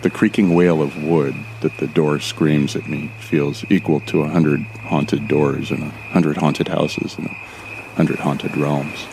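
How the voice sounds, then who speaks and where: A man's voice: rumbling low, level tone, a little slow, gravelly, a little mellow, a little hiss or hum, full character voice, rough recording. A man playing an uneasy but determined, wry explorer, narrating a first-person horror audio drama in a confiding, colloquial way. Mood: tense, ominous. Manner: descriptive, confiding, colloquial with swearing.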